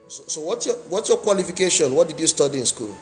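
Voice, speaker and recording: Nigerian man, host, slightly high voice, measured, rephrasing, faint music behind. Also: animated, a little mellow. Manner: measured, repeats and rephrases words. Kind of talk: interview.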